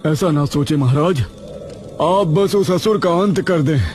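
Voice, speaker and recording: A man acts a devoted sorcerer's ghost in an animated fantasy tale. He sounds solemn and deferential, explaining things earnestly in a slightly high voice. Music plays clearly behind him. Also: unhurried, close to an everyday voice. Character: ghost of male tantric sorcerer, deferential loyal servant. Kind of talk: animated fantasy story dialogue.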